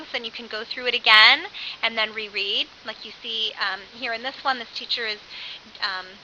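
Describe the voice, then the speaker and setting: Bright-voiced woman giving a course lecture. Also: a little high. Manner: animated, with acted-out example phrases. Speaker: early childhood education instructor. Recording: dull lo-fi audio with faint hiss.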